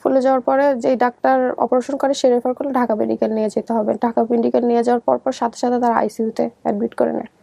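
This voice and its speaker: High-pitched young Bangladeshi woman. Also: level tone, quick pace, gravelly, few pauses, mellow, no room sound. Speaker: guest telling her life story. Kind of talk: personal storytelling in conversation.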